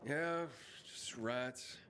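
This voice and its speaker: An actor playing a young wandering survivor in a video game, talking in a wry, informal way. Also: male voice, medium pitch, very slow, noisy background. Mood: wary, puzzled. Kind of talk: video game cutscene acting.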